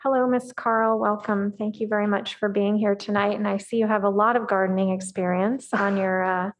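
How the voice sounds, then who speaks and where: A woman's voice: medium pitch, little pitch movement, fairly quick. A woman on a city council who welcomes an applicant politely and asks her a question over a video call. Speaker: council member. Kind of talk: formal question at a public meeting.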